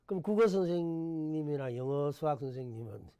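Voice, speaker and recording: An older Korean man speaks with a thick Gyeongsang accent. His voice sits a little high for a man, has a mellow, dark tone, and swings widely in pitch as he tells stories about himself with chuckling humor. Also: expressive, moderate pace, clear, resonant tone. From Gyeongsang province, Korea. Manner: storytelling, chuckling, self-deprecating. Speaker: guest, former education official. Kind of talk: relaxed talk-show interview.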